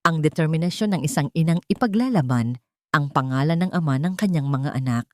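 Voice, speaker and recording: Low-voiced woman narrating a drama. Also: lively intonation, fairly quick, dry recording, clean studio sound. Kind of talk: dramatic scripted story narration.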